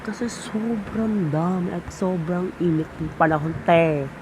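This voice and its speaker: A young Filipino man chatting casually in a storytime vlog, gossipy and dramatic in a campy way. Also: measured pace. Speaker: vlogger. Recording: noisy homemade recording with loud rumble.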